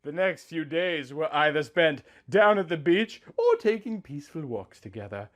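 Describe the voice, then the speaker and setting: A man's voice: a little high, wildly swooping pitch, normal speed, a bit bright. A man reading a scripted first-person story as narrator, with music behind him.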